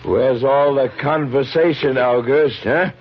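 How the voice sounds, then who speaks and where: An actor playing a tough, sardonic lighthouse keeper who is terse, needling and steadying, in old radio horror style. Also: male voice, mid-range voice, unhurried, natural voice. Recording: muffled old radio broadcast, noisy.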